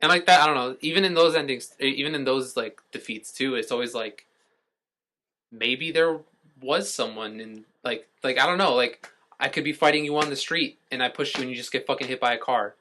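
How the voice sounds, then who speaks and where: A man with a bright, punchy voice at a middle pitch talks as a podcast co-host. His delivery is casual and enthusiastic, peppered with profanity, like a fan explaining what he loves. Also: brisk.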